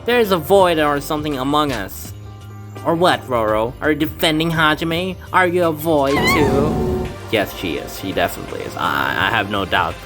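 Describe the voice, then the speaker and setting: A man's voice: a little high, animated, moderate pace, over music. A man reading a fan game aloud, voicing its characters with casual asides and stumbles. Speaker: let's play fan dubber. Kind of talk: casual game playthrough with voiced dialogue.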